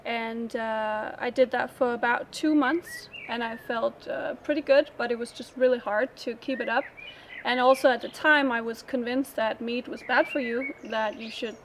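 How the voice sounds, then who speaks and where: Halting woman from Denmark, outdoors. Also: a little high, steady tone, a little hiss or hum. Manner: halting, thinks aloud. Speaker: interviewee. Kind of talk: casual personal story.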